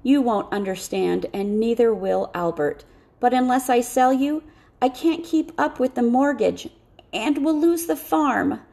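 Wanted: a woman playing a guilt-laden farmer dad in an audiobook, subdued and confessional, gravelly edge. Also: fairly high pitch, a little slow, dark tone, voice pushed up. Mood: guilty sorrow. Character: remorseful, burdened farmer, a father.

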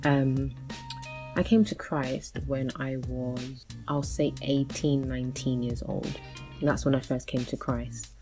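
A woman's voice: very deep voice, steady tone, a little slow, music in the background. A woman in her thirties hosting a solo podcast, chatting casually and rambling with many fillers and restarts. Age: 30s. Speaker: podcast host.

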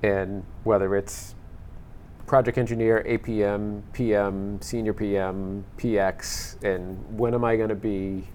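Man, host, lowish voice, conversational questions, faint rumble behind. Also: level tone, measured pace, darkish tone. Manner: conversational, searching questions with restarts. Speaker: podcast host. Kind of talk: panel discussion.

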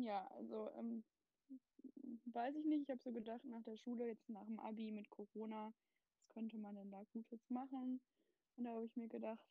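A woman's voice: fairly high pitch, gravelly, dry recording, phone-quality sound. A woman of twenty talking casually, chatty and hedging with fillers. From Germany. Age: 20s. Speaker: guest. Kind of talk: casual chat.

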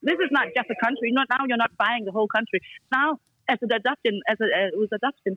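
A woman's voice: on the high side, very fast, crowd noise. A woman speaking English in non-native grammar as a podcast guest, blunt and emphatic, driving her points home with repeated commands. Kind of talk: casual chat. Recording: dry, dull lo-fi call line.

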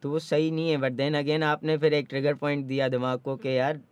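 A man's voice: fairly high pitch, flat delivery, normal speed, clean tone, no echo, highs cut off. A young man from Pakistan, a rapper, talking earnestly in a casual interview and mixing Urdu with English. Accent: Sindhi-tinged Pakistani English. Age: young adult. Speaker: rapper guest.

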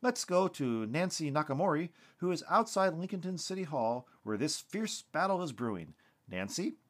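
A man's voice: on the high side, sing-song, normal speed, voice pushed up. A man voicing a polished anchorwoman who delivers local TV news in a formal, emphatic way. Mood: brisk, serious.

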